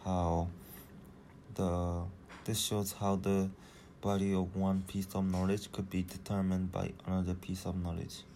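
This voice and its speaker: Low, flat-voiced teenage boy presenting. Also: slight background noise. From Japan. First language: Japanese. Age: teens. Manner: hesitant, many fillers and restarts. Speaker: student presenter. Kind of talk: school presentation.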